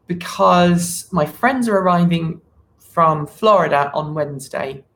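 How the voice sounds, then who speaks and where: Chatty, rambling live chat host with creaky voice. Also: medium pitch, unhurried, fairly bright tone. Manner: rambling, chatty, thinking aloud. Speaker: channel host. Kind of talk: casual unscripted live chat.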